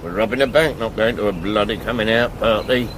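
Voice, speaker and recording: An actor playing a cheeky working-class English mechanic turned crook in a radio drama, jokey, colloquial and sardonic. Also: male voice, fairly low pitch, fairly quick, fairly bright tone, a little hiss or hum, close to an everyday voice.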